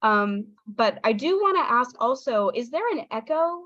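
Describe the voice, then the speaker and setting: A woman's voice: fairly high pitch, lively intonation, moderate pace, fairly bright tone, dry recording. A young woman with a Southern US accent gives an online workshop talk over a video call, chatty and apologetic with frequent ums. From Southern United States. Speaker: guest speaker, English teaching assistant. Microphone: video call on phone or computer. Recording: dull, dry video call audio.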